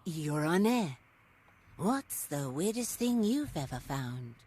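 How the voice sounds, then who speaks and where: A woman playing a wry, knowing narrator in a video game, deliberate and scripted. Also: deep voice, very animated, warm tone, slight background noise, heavily acted. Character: wry storybook narrator watching over a novice radio DJ.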